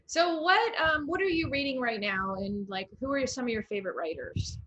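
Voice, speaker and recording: A woman's voice: high voice, animated, normal speed, a bit bright, muffled recording. A woman with an American accent, hosting a casual interview over a video call in a chatty, encouraging way. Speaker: interview host, writer.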